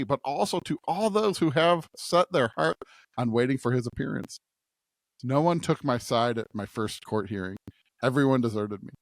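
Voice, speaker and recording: A man's voice: medium pitch, no room sound. A man co-hosting a podcast, reading and discussing scripture. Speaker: podcast co-host.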